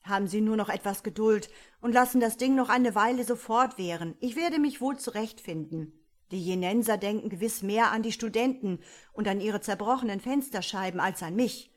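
A woman's voice: medium pitch, moderate pace, close to an everyday voice. A woman voicing a timid male will-o'-the-wisp spirit, out of breath, polite and eager in a slightly raised voice. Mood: breathless. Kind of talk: audiobook character voice.

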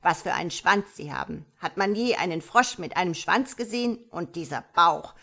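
A woman's voice: lowish voice, animated, normal speed, pushed and bright, heavily acted. A woman voicing an old mother frog in a storybook, tearful, scolding and old-fashioned, with quacking interjections. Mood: disgusted lament. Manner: scolding, lamenting, punctuated with croaky quacks.

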